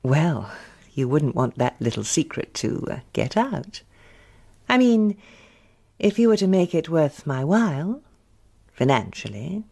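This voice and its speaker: An actress playing a cool, shrewd blackmailing wife in a radio drama, poised and insinuating, with a British accent. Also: female voice, low-pitched, big pitch swings, unhurried, creaky, long pauses, warm tone, husky, heavily acted.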